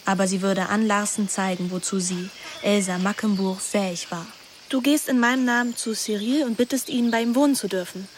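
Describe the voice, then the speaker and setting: Over clearly audible ambient noise comes a woman acting as a teenage girl in a radio play. She is scheming and anguished, speaking in an intimate, brooding manner at a middle pitch. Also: fairly even intonation, a little fast, lightly acted. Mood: urgent, persuasive. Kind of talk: radio drama with introspective first-person narration.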